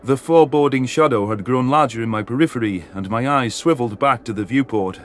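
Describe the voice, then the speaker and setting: A man's voice: medium pitch, brisk. A man reading scripted first-person science fiction narration as an audiobook narrator. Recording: music behind the voice. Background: music.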